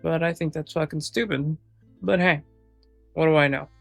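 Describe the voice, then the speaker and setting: A man's voice: fairly high pitch, steady tone, normal speed, creaky, soft background music. A man giving casual reaction commentary over gameplay, talking in a blunt, sarcastic, sweary way. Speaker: game streamer.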